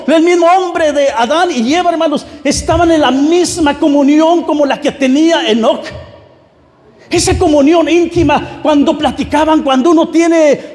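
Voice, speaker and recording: A man's voice: extremely high pitch, darkish tone. A man preaching a fervent sermon, exhorting the congregation directly and circling back over his phrases. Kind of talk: Pentecostal-style sermon. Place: large reverberant room, church service. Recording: echoey hall with long reverb.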